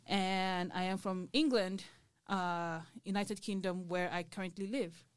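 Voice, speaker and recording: A woman's voice: on the low side, measured pace, clear, resonant tone, dry recording. Young female podcast host from Nigeria, bubbly, chatty, dry close sound. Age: young adult. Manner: lively, laughing, frequent "like" fillers. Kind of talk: casual group chat.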